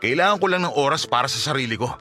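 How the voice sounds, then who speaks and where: A man's voice: mid-range voice, animated, rapid-fire, bright, forceful tone, soft background music. A man playing an irritable, accusing young boyfriend in a Filipino radio drama, curt, with a Filipino accent. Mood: hurt, irritated.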